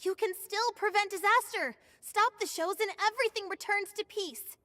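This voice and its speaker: An actress playing a feisty, blunt young girl in a game cutscene, sharp and impatient. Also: very high voice, normal speed, big-room sound.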